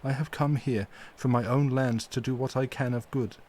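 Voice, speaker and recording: A grave elderly professor speaks in slow, formal, halting phrases, stern and tender at once, as a male reader puts him on. The voice sits higher and louder than the reader's own. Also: expressive, slight background noise, natural voice. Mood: solemn.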